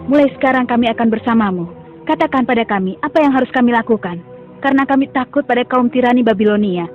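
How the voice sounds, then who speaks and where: A woman's voice: a little high, fast, lightly acted. A woman playing a pleading young follower. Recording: phone-like dub with music.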